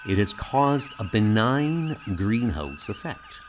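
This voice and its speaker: A man voicing a calm, logical alien science officer who speaks in a formal, precise, detached way. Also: fairly low pitch, takes it slowly, faint music behind.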